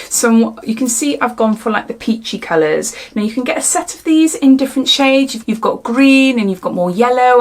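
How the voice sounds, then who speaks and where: A woman's voice: fairly high pitch, slight background noise. A British woman in her forties, chatting casually through a makeup tutorial in a rambling, friendly way with frequent laughs. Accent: British English. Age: 40s. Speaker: beauty vlogger.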